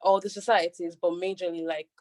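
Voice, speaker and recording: A woman's voice: fairly low pitch, fairly even intonation, fairly quick, darkish tone. A woman standing as a student candidate, answering on a video call in a repetitive way with many fillers. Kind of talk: answering a hustings question.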